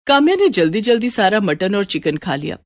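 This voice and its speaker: A woman's voice: mid-range voice, sing-song, brisk, no echo. A woman narrating a scripted story as its storyteller.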